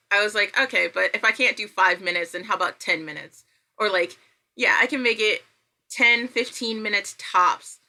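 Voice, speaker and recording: A woman with a bright, forward voice at an ordinary pitch, chatting as a guest on a podcast. She tells anecdotes in an animated, self-deprecating way, often quoting what she was thinking. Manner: animated, self-deprecating, quotes own thoughts aloud. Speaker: podcast guest, writer and creator. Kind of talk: casual chat, anecdotal storytelling.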